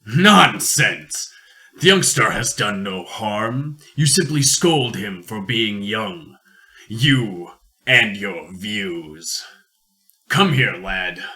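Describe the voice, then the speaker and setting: A man playing a rich, sardonic industrialist in a radio play, measured, formal and a little pompous. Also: on the low side, lively intonation, pushed and bright, exaggerated voice. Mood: hearty, dismissive. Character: rich, worldly, sardonic industrialist, booming and indulgent. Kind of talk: amateur radio play acting.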